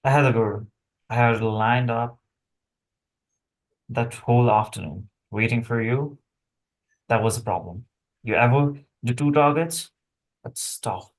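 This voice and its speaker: A man acts out a weary assassin in a script read. His voice sits at an ordinary male pitch. He talks conversationally and haltingly, staying wry under threat. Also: close to an everyday voice, rough recording. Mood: wry, needling. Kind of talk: screenplay table read acting.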